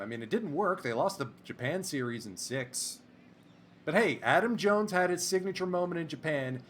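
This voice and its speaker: Lively, chatty man calling game play. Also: fairly high pitch, a little hiss or hum. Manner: excitable, chatty, drifts into asides. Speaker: let's play host. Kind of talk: play-by-play commentary on a baseball sim game.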